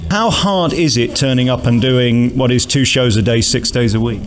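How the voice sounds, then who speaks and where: A man's voice: medium pitch, normal speed. A man hosting casual theatre preview interviews in a chatty, cheeky, complimentary way.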